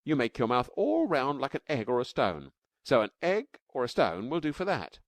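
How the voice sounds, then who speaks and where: A man voicing an eager, chattering little cave girl with a high, squeaky voice. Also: huge pitch swings, normal speed, dry recording, studio quality.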